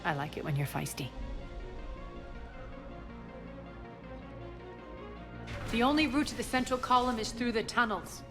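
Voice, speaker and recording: An actress playing a ruthless, sardonic crime boss in a video game, speaking in a clipped, commanding, scornful manner. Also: female voice, fairly high pitch, very animated, quick pace, music in the background. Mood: dry, sardonic. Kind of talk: video game cutscene acting.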